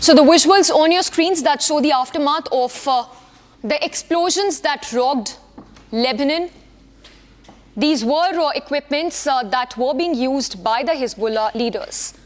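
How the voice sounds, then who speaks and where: A woman's voice: high-pitched, a little slow, long reverb tail. A woman anchoring a live news bulletin, reading it loosely with many fillers and restarts.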